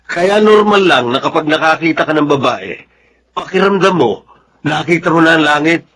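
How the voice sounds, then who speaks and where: An actor playing a stern, teasing young soldier in a Filipino radio drama, with a Filipino accent and a bossy, needling manner. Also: male voice, on the high side, expressive, normal speed, a bit bright.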